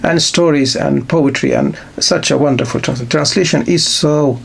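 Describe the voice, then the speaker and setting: Gravelly, halting man lecturing. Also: a little high. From Egypt. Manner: halting, many restarts and repeated words; poses rhetorical questions. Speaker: literature lecturer. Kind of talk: unscripted university lecture.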